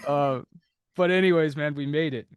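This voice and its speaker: A man's voice: on the high side, normal speed, clean tone, no room sound, clean studio sound. A man hosting a podcast interview in a casual, chatty, rambling way. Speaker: podcast host.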